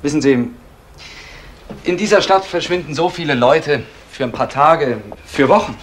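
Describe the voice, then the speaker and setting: An actor playing a sceptical, matter-of-fact police detective in a German radio crime drama, with a dry, probing manner. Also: male voice, fairly high pitch, moderate pace, natural voice. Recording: faintly noisy old radio play recording.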